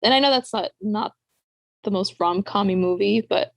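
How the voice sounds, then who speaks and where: A woman's voice: medium pitch, moderate pace, vocal fry, no room sound. A woman chatting casually as a book podcast co-host, bubbly and gushing with a giggly way of talking.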